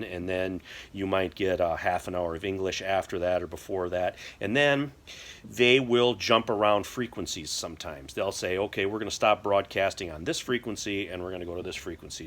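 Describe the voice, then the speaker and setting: A middle-aged American man explaining things casually to camera in a halting, folksy way. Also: deep voice, a bit bright. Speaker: prepper video host. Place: indoor workshop or garage.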